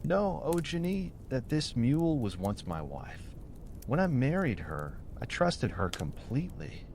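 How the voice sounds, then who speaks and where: A man's voice: mid-range voice, sing-song, measured pace, a little mellow, slight background noise. A man voicing a wronged old man who tells his tale formally and earnestly in a bedtime storybook. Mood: earnest, wounded.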